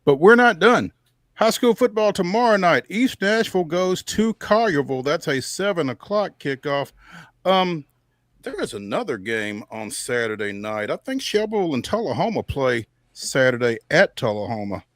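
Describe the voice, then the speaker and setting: An American man with a slightly high voice hosts a sports show. He reads through game listings conversationally, dropping in casual asides. The sound is dry, with no room echo. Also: very animated, moderate pace. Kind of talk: reading a rundown of local sports schedules.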